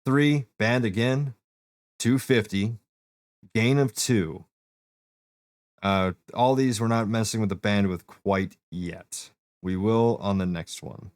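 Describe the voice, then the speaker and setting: A man with a deep voice casually walking a friend through a streaming setup, step by step with fillers. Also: slow, darkish tone, no echo, studio quality. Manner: explains steps plainly, fillers, talks to a friend. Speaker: streamer giving setup help. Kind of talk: casual walkthrough.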